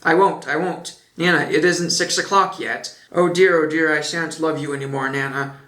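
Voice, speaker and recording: A man's voice: a little high, fairly even intonation, normal speed, a bit bright, roomy sound, lightly acted. A man doing a stubborn little boy. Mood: whiny stubborn. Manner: pleading, stubborn protests. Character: small boy refusing his bath, whiny.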